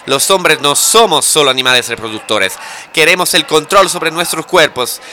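A man acts out an idealistic young activist in an audio drama. His voice is fairly high and forceful, with rhetorical, argumentative delivery over audible noise. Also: expressive, normal speed. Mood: rousing, defiant. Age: young adult. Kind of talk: scripted audio drama acting.

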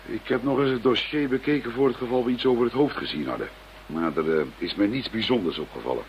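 Actor as quick, creaky-voiced male investigator. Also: mid-range voice, animated, close to an everyday voice. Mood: businesslike. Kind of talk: Dutch radio drama acting. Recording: muffled, noisy old radio drama recording.

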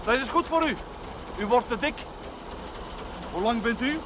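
An actor playing a blunt, brisk car tinkerer in a naturalistic 1970s TV drama. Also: male voice, very high-pitched, animated. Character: blunt, brisk man who tinkers with cars. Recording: noisy soundtrack with car engine.

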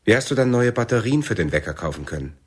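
A man playing a wary, suspicious militant leader in a German radio play, husky and measured in his questioning. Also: on the low side, animated. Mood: suspicious, probing. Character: wary, soft-spoken male Palestinian militant leader, suspicious lover.

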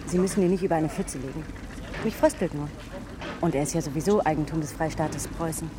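A woman's voice: sits low, background noise, natural voice. An actress playing a self-assured, teasing young working woman in a naturalistic German radio drama. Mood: cool, teasing. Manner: teasing, quick-witted. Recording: studio drama with loud music bed.

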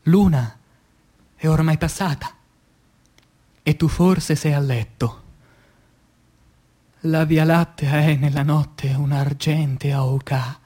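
A man reciting poetry as dramatic radio theatre, solemn and declamatory, breaking phrases with deliberate pauses. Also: on the high side, steady tone, warm tone.

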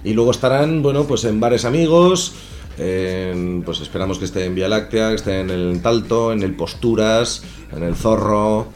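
A man chats in a radio studio as a guest musician. He speaks in a lively, emphatic way. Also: lowish voice, moderate pace. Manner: lively, emphatic, laughs easily. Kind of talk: casual radio interview.

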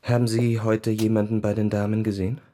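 An actor as a low-voiced, cynical army officer. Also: male voice, little pitch movement, a little fast, mellow, a little hiss or hum, close to an everyday voice. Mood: scheming. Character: proud, cynical, bored army officer telling his own story. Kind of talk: literary radio play narration and dialogue.

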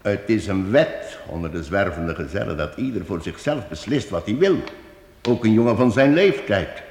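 An actor playing a firm, measured travelling minstrel in a radio drama. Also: male voice, fairly low pitch, expressive, darkish tone. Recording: echoey hall with long reverb.

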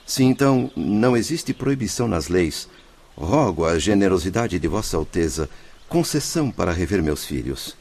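A man's voice: deep voice, very animated, normal speed, a little mellow, husky, heavily acted. An actor in a radio drama playing an anguished spirit who formally and emotionally implores, longing for his family. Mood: pleading. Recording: music behind the voice.

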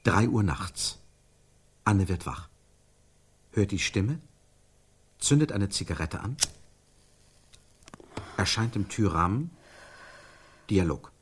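A man's voice: lowish voice, animated, slow, pauses often, a little hiss or hum. An actor playing an intense scriptwriter who improvises a melodramatic scene in a stylised radio play, searching for the words as he narrates. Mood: narrating, suspenseful. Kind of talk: stylised radio drama acting.

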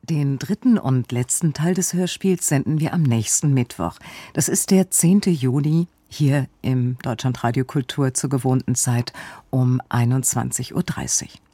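Radio presenter, dark husky voice, scripted continuity announcement, very dry studio sound. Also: medium pitch, unhurried, no echo.

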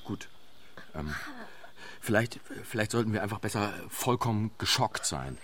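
A man's voice: on the low side, animated, moderate pace, fairly bright tone, slight background noise. An actor playing a stressed, self-justifying man in his forties in a German radio drama, hesitant yet urgently coaxing the others. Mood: scheming, uneasy.

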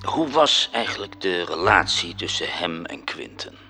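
A man's voice: low voice, very animated, a little slow, pushed and bright, soft background music, heavily acted. An actor playing a wry, knowing male observer in a radio drama.

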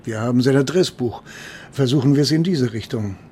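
A man's voice: medium pitch, a little fast, dark tone, a little hiss or hum, lightly acted. A man playing a sober, methodical police investigator in a German radio drama. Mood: matter-of-fact.

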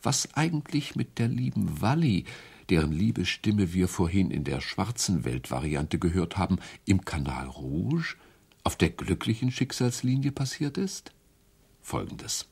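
Sly gravelly narrator. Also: male voice, low voice, sing-song, a little slow, darkish tone, full character voice. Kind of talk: radio play acting.

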